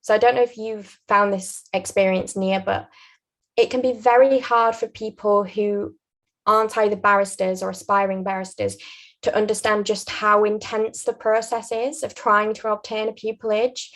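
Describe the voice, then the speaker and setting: A young woman with a Yorkshire accent giving earnest, measured advice as an interview guest. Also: mid-range voice, level tone, fairly bright tone. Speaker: guest, aspiring barrister.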